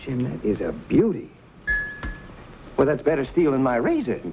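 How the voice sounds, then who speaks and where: An actor playing an eager, admiring young frontiersman in an old-time western drama, folksy and excitable. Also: mid-range voice, normal speed, vocal fry. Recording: noisy old radio-era recording.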